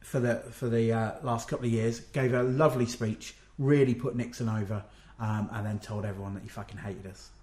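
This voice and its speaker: A man at an ordinary pitch chats easily and recaps a live event. He affirms his partner often and fills gaps with "um" as he goes. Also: steady tone, fairly quick, few pauses, darkish tone. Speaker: podcast co-host. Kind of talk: casual wrestling chat.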